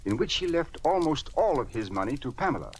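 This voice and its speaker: A man's voice: a little high, very animated, fairly quick. An actor playing an earnest, troubled older male judge with a hesitant, formal manner in a 1940s radio drama. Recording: noisy old radio recording.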